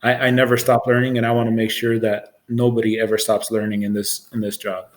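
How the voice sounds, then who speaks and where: A man's voice: on the low side, little pitch movement, a little fast. A man in his forties with an American accent, explaining his work as a supervisor in an informal interview, plain-spoken and matter-of-fact. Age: 40s. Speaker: air traffic control supervisor.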